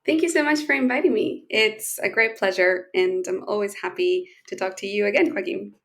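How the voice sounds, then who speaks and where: A woman speaking English, her voice carrying a gravelly edge of vocal fry. She explains her work as a podcast guest in a friendly manner and often pauses with small fillers. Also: medium pitch, faint music behind. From Chile. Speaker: guest, space lawyer. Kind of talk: conversational podcast interview.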